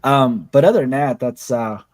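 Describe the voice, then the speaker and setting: A man co-hosting a casual podcast chat, informal and hesitant, with a slightly raspy voice from being under the weather. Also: medium pitch, moderate pace, a little mellow, no echo. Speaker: podcast co-host.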